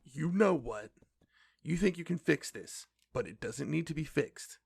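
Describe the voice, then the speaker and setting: A woman playing a protective, cautious fey sibling in an audio drama, earnest and gently stern. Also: low-pitched, lively intonation, measured pace, gravelly, breathy edge, dry recording, exaggerated voice. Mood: cautioning.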